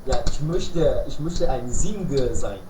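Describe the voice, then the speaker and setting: Halting male learner on an echoey call. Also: mid-range voice, expressive. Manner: halting, self-correcting, many fillers. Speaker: German learner, accountant. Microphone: video call. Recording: echoey, distant call audio with clear noise.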